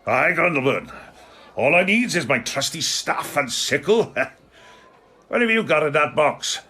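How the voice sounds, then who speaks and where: A man's voice: a little high, expressive, a little slow, pushed and bright, slightly rough, exaggerated voice. A man playing a rustic, bossy quest leader with a British accent and a blunt, scolding manner in a comic audio drama. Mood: brisk, approving.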